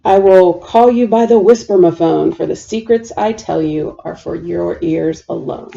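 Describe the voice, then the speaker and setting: A woman voicing a secretive, brooding old male hermit from a storybook, confiding and hushed. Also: fairly low pitch, measured pace, creaky, dull audio.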